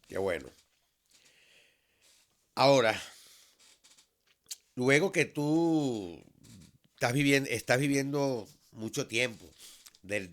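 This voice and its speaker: A Venezuelan man in his fifties, a teacher, speaking in a measured, earnest monologue that has frequent restarts. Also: lowish voice. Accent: Venezuelan Spanish. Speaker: vlog host, high school teacher. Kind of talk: reflective solo monologue.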